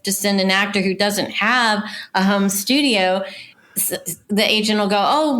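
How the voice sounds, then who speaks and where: A woman's voice: medium pitch, fairly bright tone. A woman talking shop casually as a casting director, explaining things in a conversational way.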